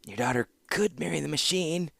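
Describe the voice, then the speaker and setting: A man's voice: fairly high pitch, a little fast, gravelly, fairly bright tone, no echo, studio quality, pitched above the performer's own voice, full character voice. A man doing a trembling, timid seneschal. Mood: wry. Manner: nervous, stammering.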